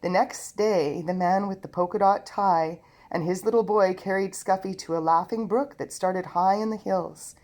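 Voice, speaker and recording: A woman's voice: mid-range voice, normal speed, few pauses. Woman reading a children's story aloud. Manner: expressive read-aloud storytelling. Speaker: storybook reader. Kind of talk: scripted children's story narration.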